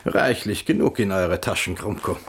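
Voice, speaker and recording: An actor playing a shrewd, mocking imperial envoy in a radio play, with a dry, needling manner. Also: male voice, low-pitched, moderate pace, a little hiss or hum.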